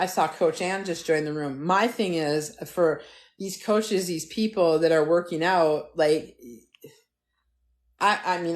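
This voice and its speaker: A woman in her fifties hosting a TikTok live, talking bluntly and profanely in a casual rant with many restarts. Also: on the low side. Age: 50s.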